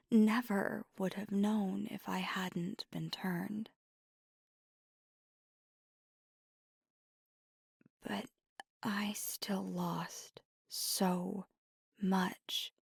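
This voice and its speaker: A woman voicing a gentle, remorseful vampire girlfriend in an intimate ASMR roleplay, speaking in a halting, breathy whisper close to the microphone. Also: mid-range voice, no room sound, full character voice. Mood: wistful, vulnerable. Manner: halting, hushed, mostly whispered confession. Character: gentle, remorseful female vampire girlfriend, anxious, tender confessor. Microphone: close, dry microphone.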